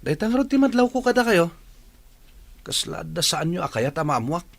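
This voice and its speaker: Suspicious son, higher-pitched male voice actor. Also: sing-song, normal speed, vocal fry, exaggerated voice. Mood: frustrated. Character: suspicious, questioning son confronting his mother. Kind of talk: Ilocano radio drama acting.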